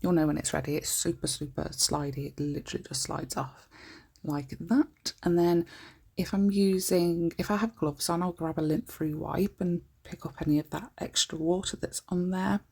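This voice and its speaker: A woman in her thirties with a croaky, groggy voice, chatting informally through a nail art tutorial. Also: lowish voice, fairly even intonation, moderate pace, darkish tone. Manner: chatty and informal, breaks off often to correct herself.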